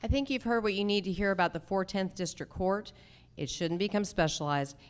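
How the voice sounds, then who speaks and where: A woman candidate for judge speaking formally at a forum, deliberate, articulate and emphatic. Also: mid-range voice, smooth. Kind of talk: formal forum answers, measured campaign speech.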